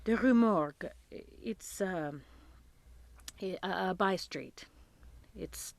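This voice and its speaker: A woman in a live radio drama playing earnest male witnesses giving deliberate, theatrical testimony. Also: medium pitch, gravelly, dry recording. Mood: earnest, uneasy. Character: male witnesses: earnest bank clerk, Spanish undertaker.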